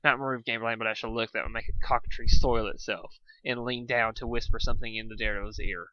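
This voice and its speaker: A man's voice: mid-range voice, fairly even intonation, fast, sharp tone, lo-fi sound. A man reading fan fiction aloud as an amateur narrator, stumbling over and repeating words now and then.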